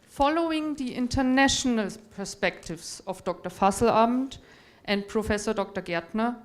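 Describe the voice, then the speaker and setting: A woman's voice: on the high side, normal speed. A woman from Europe moderating a conference panel, giving formal, courteous introductions of the speakers. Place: conference hall.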